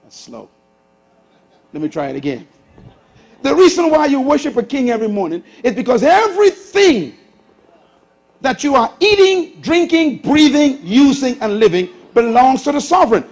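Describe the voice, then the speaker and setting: Bahamian male preacher, sixties, high voice, very lively delivery, stage lapel mic. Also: normal speed. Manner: lively preacher, shifts from loud bursts to hushed asides. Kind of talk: sermon. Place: large church auditorium stage with audience.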